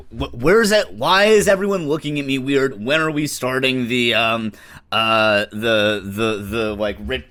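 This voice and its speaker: A man at a tabletop game, rambling with many hesitations. His voice is bright and forward, at a middle pitch. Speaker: player.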